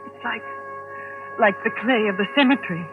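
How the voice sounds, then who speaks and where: An actress playing a nervous, hesitant young secretary in a 1940s radio suspense drama. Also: female voice, medium pitch, normal speed, music in the background, lightly acted. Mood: fearful. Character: anxious young female secretary caught in a mystery. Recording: muffled old radio drama, phone-like, dry.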